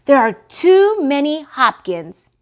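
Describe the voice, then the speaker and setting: A woman's voice: sits high, sing-song, takes it slowly, pitched above the performer's own voice, full character voice. A woman voicing a practical, firm father rabbit from a storybook, giving emphatic instructions. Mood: exasperated.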